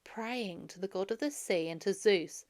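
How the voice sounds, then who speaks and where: A woman's voice: mid-range voice, moderate pace, a little mellow. A woman reading a story aloud for children as a narrator. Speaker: storybook reader.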